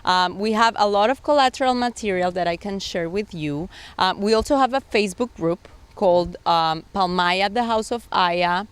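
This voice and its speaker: A woman's voice: medium pitch, moderate pace, hardly any pauses, clear, resonant tone. A woman giving agreeable, explanatory interview answers as a sales director, with phrasing. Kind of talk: interview answers pitching a resort.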